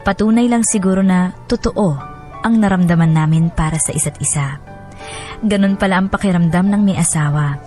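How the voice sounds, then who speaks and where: A woman's voice: lowish voice, normal speed, warm tone, lightly acted. Sentimental young wife narrating over music acted by a woman. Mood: wistful, tender. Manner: sentimental, confiding. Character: happily married young woman reading out her sentimental letter. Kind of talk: dramatized radio letter narration in first person.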